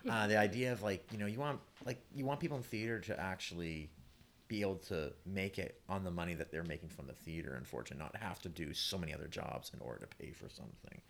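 Deep, gravelly man talking casually. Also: fairly bright tone, slight background noise. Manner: halting, rambling, conversational. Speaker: theatre playwright guest. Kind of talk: casual panel discussion.

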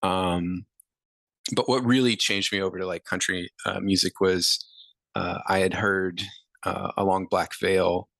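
A man in his thirties, a musician guest, answering interview questions in a relaxed, rambling way with many fillers. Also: deep voice, measured pace, creaky, dry recording.

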